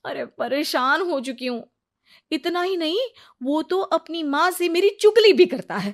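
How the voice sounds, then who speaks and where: Woman acting exasperated, high-pitched young wife. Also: expressive, unhurried. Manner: dramatic, complaining. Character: exasperated, cheeky young wife, dramatic complainer.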